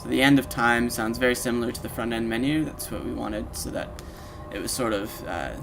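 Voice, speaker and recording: Male demo narrator, medium pitch, informal and explanatory, talking over prominent game music. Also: brisk, vocal fry. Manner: informal, explanatory, addressing the listener as the player. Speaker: presenter of a video walkthrough, game sound designer. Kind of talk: casual walkthrough narration of a software demo. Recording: loud game music under the voice. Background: video game music and sound effects.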